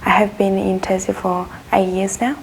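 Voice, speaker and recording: A woman's voice: mid-range voice, level tone, moderate pace, gravelly, a little hiss or hum. A woman from Burma, a community leader, speaking in simple, earnest phrases.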